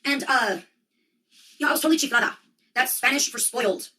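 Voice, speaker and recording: Fast, bright American woman, distant mic. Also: high-pitched. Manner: slangy, dramatizes remarks and mock dialogue. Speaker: monologue host. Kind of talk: rambling casual spoken monologue.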